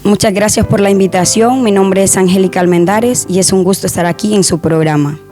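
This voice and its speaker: A young woman, a guest softly and hesitantly sharing a personal testimony in an interview. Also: mid-range voice, fairly even intonation, fairly quick, soft background music. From Honduras.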